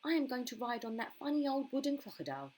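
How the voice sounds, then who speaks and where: An eager young child from a storybook, played by a woman. The voice is lifted in pitch and full of excitement. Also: fast, slightly rough, full character voice. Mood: excited.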